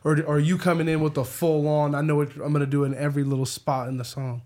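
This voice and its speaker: A man's voice: a little high, flat delivery, fast, a little mellow. A man co-hosting a podcast, chatty and asking the guest questions. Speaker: podcast co-host. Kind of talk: casual interview chat.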